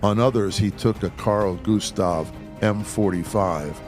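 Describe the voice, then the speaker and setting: Male host-narrator, lowish pitch, scripted documentary read over a clear music bed. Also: unhurried.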